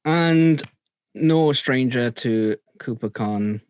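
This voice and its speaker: A man's voice: mid-range voice, extremely slow, darkish tone, clean tone, no echo. A man hosting a casual group video call podcast, talking hesitantly with many ums and a playful tone. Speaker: podcast host.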